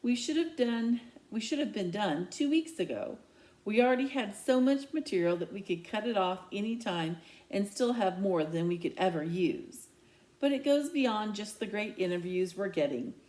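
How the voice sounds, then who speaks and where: A woman reading a children's novel aloud in the first person, with expressive, emphatic storytelling. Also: medium pitch, moderate pace. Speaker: read-aloud reader. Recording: echoey, roomy home recording.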